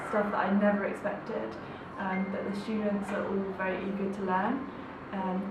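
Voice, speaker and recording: Flat-voiced woman, echoey and distant. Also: medium pitch, background noise. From England. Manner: hesitant, many ums, even and level delivery. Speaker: English teacher. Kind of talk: casual interview answers. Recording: echoey room, distant mic, loud music bed. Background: music.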